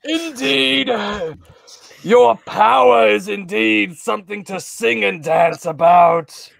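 A man's voice: sits high, slow, creaky, fairly bright tone, exaggerated voice. A man playing a pompous, theatrical Sith master in a comedy table read, drawing out his words. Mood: gloating. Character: theatrical villainous Sith master, high-voiced and gloating.

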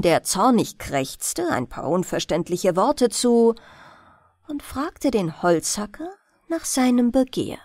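Woman reading a bedtime fairy tale audiobook, slow n expressive, really dry close sound. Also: fairly high pitch, creaky, no echo. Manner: deliberate, expressive storytelling. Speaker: audiobook narrator.

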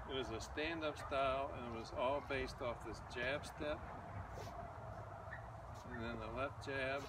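Older male boxing coach, slow and plain, recorded at a distance outdoors. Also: medium pitch, fairly even intonation. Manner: slow, plain-spoken, demonstrating moves while talking. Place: back garden outdoors. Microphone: far camera. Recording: distant outdoor mic with loud background noise.

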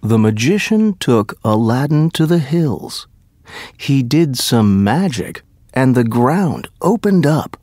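A man narrating a scripted story for language learners in a careful, deliberate way. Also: mid-range voice, very animated, darkish tone, dry recording, clean studio sound.

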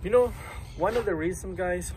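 A man's voice: high-pitched, moderate pace, darkish tone, a little hiss or hum, rough recording. Chatty man vlogging outdoors in a park. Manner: chatty, rambling, many "uh" fillers. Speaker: lawn care business owner vlogger. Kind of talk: casual vlog talking to camera. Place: outdoors in a sunny city park, sitting on grass. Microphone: phone camera at arm's length.

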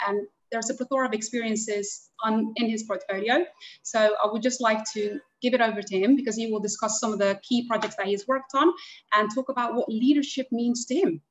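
A woman's voice: medium pitch, low-quality recording. A woman hosting an online panel over a video call, introducing speakers and asking questions politely. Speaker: panel host.

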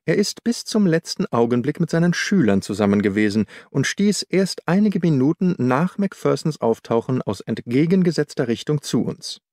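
A man's voice: on the high side, big pitch swings, normal speed, few pauses, darkish tone, no room sound, studio quality. A man voicing a shrewd, methodical detective in an audiobook, reasoning in a precise, self-assured way.

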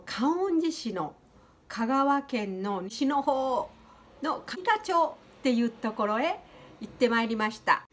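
A woman, presenting a vlog politely and in an animated, sing-song way. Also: a little high, takes it slowly. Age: 70s. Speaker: host, flower designer. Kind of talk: vlog narration to viewers.

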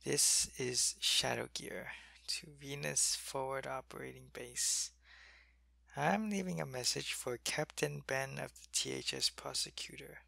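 A man playing a sick, weak male alien soldier in an ASMR roleplay. He speaks softly into a close mic and often drops to a whisper. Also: medium pitch, creaky, no room sound, heavily acted. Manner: soft-spoken, drifting into whispers.

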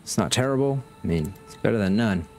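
Low-voiced man narrating his own gameplay. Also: a little fast, faint music behind. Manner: relaxed, thinking aloud while building. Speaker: gaming channel host. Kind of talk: casual gameplay commentary.

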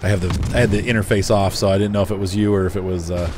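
A middle-aged man with a lowish, easygoing voice, chatting loosely as he streams a game and laughs often. He reads viewers' messages aloud between comments on the match. A faint hiss sits under the voice. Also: steady tone, racing. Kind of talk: casual gaming live stream chat.

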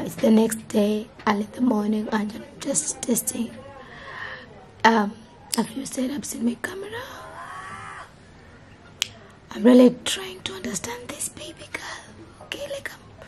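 Young woman, Kenyan accent, hoarse, sore throat, chatty vlog, close camera mic, fairly high voice. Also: darkish tone. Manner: chatty, mixes English and Swahili. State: hoarse, losing her voice. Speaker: vlogger. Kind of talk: casual vlog talk to camera. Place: indoors. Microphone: camera mic held close.

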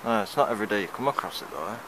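Male urban-explorer vlogger, lowish voice, casual commentary, heavy outdoor wind noise on camera mic. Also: lively intonation, racing. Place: outdoors among derelict brick buildings and lanes. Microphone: handheld camera microphone. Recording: very noisy windy outdoor camera recording.

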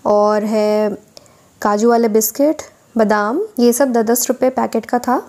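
A woman's voice: on the high side, steady tone, unhurried, a little mellow. A woman vlogger narrating a voice-over tour of a weekly market, listing goods and prices for her viewers.

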